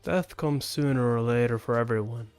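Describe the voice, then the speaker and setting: A man reads as a solemn old warrior at the end of his life, honorable and formal. The mid-pitched voice speaks in measured phrases over background music. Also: darkish tone, smooth, lightly acted. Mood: resigned, wistful. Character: dying veteran swordmaster, honorable enemy warrior, solemn. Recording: music behind the voice.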